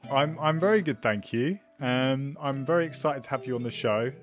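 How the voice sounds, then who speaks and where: A man hosting a podcast, talking in a relaxed, hesitant way with many ums and restarts. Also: medium pitch, moderate pace, soft background music. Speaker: podcast host. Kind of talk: casual conversational interview.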